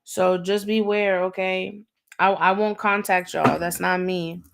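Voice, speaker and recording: A woman with a raspy, slightly hoarse voice, talking casually and in slang as she gives an intuitive reading on a livestream, often checking in with her listeners. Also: lowish voice, level tone, measured pace, noisy background. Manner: slangy, conversational, often seeking agreement with "right?" and "okay?". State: hoarse, going easy on throat. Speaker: livestream tarot reader, host.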